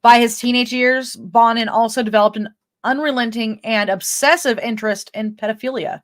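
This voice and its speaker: Female true-crime podcast co-host, higher-pitched, informal, shifts between reading notes and casual banter. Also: steady tone, normal speed.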